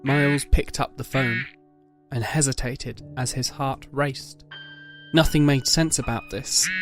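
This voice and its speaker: Male narrator of a dramatic story, music underneath. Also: medium pitch. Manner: measured, literary delivery.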